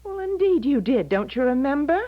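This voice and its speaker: Radio-play mother: middle-aged, insistent, agitated woman with animated delivery, dull lo-fi broadcast sound. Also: high voice, moderate pace. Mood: pleading. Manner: agitated, emphatic, theatrical. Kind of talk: 1970s radio drama acting.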